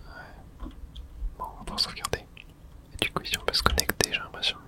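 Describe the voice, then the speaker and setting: A hushed, fully whispered voice narrates a video game playthrough in ASMR style. The delivery is casual and unguarded, muttered as if to oneself, with no voiced tone. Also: fairly quick. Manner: whispering throughout; casual swearing, muttering to self.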